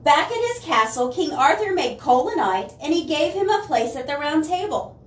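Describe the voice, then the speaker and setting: A woman reading picture books aloud to young children, with expressive dramatic emphasis and chatty asides to her listeners. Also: sits high, normal speed. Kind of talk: animated storytime read-aloud for children. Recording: roomy, distant mic, faint noise.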